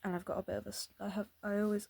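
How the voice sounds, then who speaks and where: A woman's voice: mid-range voice, flat delivery, racing, gravelly, mellow, slightly rough. A young woman reading a novel aloud, often stumbling and restarting words because of a slight stammer. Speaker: amateur reader.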